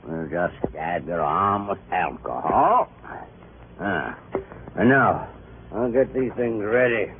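An actor playing a murderous doctor who feigns soothing bedside concern in a 1950s radio crime drama. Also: male voice, low voice, slow. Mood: soothing, brisk. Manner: unctuous, falsely soothing. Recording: old narrowband radio recording, faint hiss.

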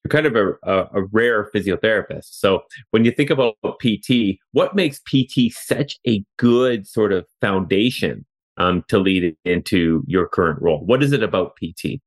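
Brief: Canadian male podcast host, very low voice, chatty, lots of "you know". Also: lively intonation, moderate pace. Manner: chatty, loose, many fillers and restarts. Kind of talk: conversational interview. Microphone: remote call.

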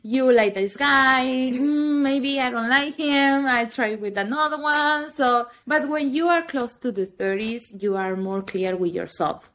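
A woman's voice: sits high, unhurried, hardly any pauses, a bit bright. A woman around thirty, a native Spanish speaker, chatting casually on a podcast in non-native English and laughing often. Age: 30s. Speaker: podcast co-host. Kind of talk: casual chat.

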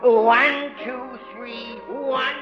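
A meddling old doctor from a radio comedy, very high in pitch, courtly and pleased with himself. Loud music plays behind him on a dull old recording. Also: male voice, steady tone, very slow, full character voice. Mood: smug, courtly. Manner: smug, courtly, cutting in. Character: pushy, self-satisfied old doctor, meddling friend. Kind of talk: 1950 radio comedy acting.

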